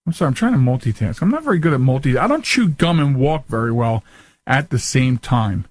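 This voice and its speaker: A man hosting a casual live call-in radio show, talking haltingly and often repeating his words. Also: medium pitch, very animated, no echo.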